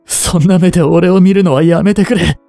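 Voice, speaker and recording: A man in his twenties playing a remorseful, grieving young hitman in a voice drama, breathy and anguished. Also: high voice, fairly even intonation, dark tone, no echo, soft background music. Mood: tearful remorse. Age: 20s. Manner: anguished, halting, intimate. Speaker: voice actor. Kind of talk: Japanese voice drama monologue, emotional acting.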